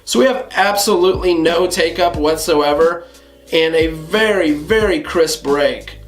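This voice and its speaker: A man hosting a casual gun review vlog, slightly hoarse from a cold, talking to viewers in a relaxed conversational way. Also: a little high, a little slow, reverberant, soft background music. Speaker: gun channel host.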